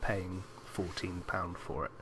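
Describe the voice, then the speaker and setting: Low, gravelly man in casual chat. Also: level tone, slow pace, a bit bright. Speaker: podcast co-host. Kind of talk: casual chat about films. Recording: noisy amateur podcast recording.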